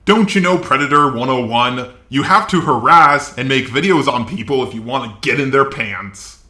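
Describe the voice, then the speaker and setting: Sarcastic man with a bright, forceful voice. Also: mid-range voice, moderate pace, reverberant. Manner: sarcastic, profane, exasperated. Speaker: YouTube commentator. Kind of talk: combative commentary reacting to online comments.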